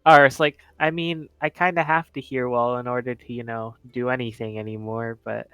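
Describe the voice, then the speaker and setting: A man's voice: medium pitch, fairly even intonation, normal speed, fairly bright tone, smooth, no room sound, pitched below the performer's own voice, lightly acted. A man voicing a kind, eager teenage boy in a tabletop game, earnest, polite and a little rambling. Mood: grateful, modest.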